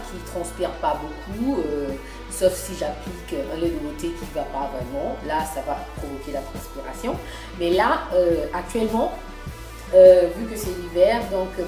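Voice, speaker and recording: A woman from Congo chatting casually as a beauty vlogger, hesitant and full of fillers and restarts. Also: on the low side. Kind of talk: casual beauty vlog talk. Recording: echoey room with music behind. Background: background music.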